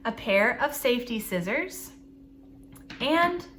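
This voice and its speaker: Children's storytime host, female, bright crisp voice, expressive and plain-spoken for very young listeners. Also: fairly high pitch, slow, slight background noise. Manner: simple, expressive talk addressed to young children.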